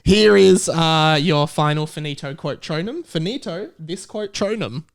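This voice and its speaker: Slightly high-voiced man hosting casually. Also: animated, unhurried, creaky. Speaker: podcast host and quizmaster. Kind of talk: casual comedic chat.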